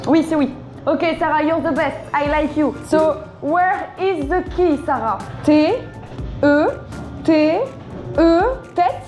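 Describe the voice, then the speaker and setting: A woman's voice: sits high, darkish tone. Giggly young woman streamer. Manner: giggly, chatty, halting English. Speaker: streamer host. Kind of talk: playful reactions while exploring. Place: indoor haunted house attraction. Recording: echoey rooms with music.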